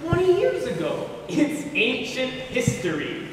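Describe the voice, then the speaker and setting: A man's voice: extremely high pitch, huge pitch swings, unhurried, exaggerated voice. Defensive, bickering man played by a male amateur in stage comedy; distant, heavily reverberant hall recording. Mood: exasperated. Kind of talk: amateur comic stage play acting. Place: school theatre stage, recorded from the audience. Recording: noisy, very echoey hall from far off.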